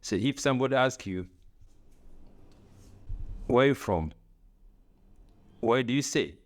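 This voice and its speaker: A man's voice: medium pitch, lively intonation, slow pace. A middle-aged man with an East African accent and non-native English, talking reflectively on a podcast, repeating phrases and posing rhetorical questions. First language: not English. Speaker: podcast co-host. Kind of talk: reflective conversational podcast talk.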